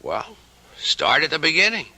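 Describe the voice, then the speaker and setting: Bright, gravelly, animated young newspaperman. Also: fairly high pitch, moderate pace, full character voice. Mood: flustered, defensive. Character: young, smooth-talking newspaper reporter, guarded and infatuated. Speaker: actor. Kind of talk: old-time radio drama acting.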